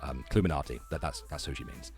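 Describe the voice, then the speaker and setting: A man's voice: extremely low pitch, quick pace, gravelly, a little mellow, soft background music. A man hosting a casual livestream, giving profane, irreverent running commentary and rants. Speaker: livestream host.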